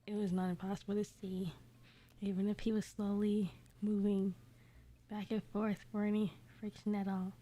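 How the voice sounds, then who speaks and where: A woman streamer reading fan fiction aloud casually, giggly and self-censoring, often dropping into a breathy near-whisper. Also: medium pitch, level tone, normal speed, mellow.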